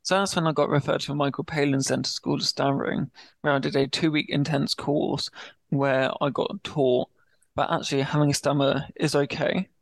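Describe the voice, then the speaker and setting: A young man with a stammer, telling his personal story as a podcast guest and pacing his words slowly before speeding up. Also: a little high, level tone, creaky, no echo. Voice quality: speaks with a stammer. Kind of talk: candid personal story in an interview.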